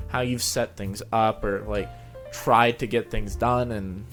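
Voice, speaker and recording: A man's voice: fairly low pitch, fairly even intonation, unhurried, a bit bright. A man hosting a casual interview, speaking in an informal, agreeable way with loose phrasing. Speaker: interviewer, show host. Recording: music playing behind the voice. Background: background music.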